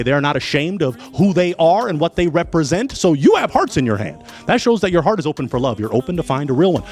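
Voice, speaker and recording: A male host with a high, lively voice that leaps around as he hypes up a dating show. He talks like a showman, playful and punchy. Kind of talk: energetic dating show hosting.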